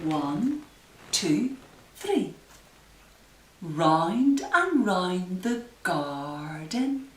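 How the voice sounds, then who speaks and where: A woman leading a nursery rhyme singalong for small children, giving simple instructions and encouragement. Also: on the high side. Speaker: library story time leader. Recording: echoey room, distant microphone.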